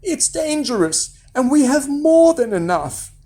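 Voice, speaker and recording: A storyteller playing a high-voiced doting mother. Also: big pitch swings, takes it slowly, warm tone, breathy edge, exaggerated voice. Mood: anxious. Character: doting, anxious mother of fairy-tale boy. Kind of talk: children's fairy-tale storytelling.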